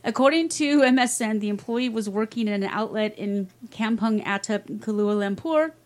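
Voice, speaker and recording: A woman's voice: fairly high pitch, normal speed. A woman co-hosting a casual podcast, reading news stories aloud with wry asides and frequent laughter.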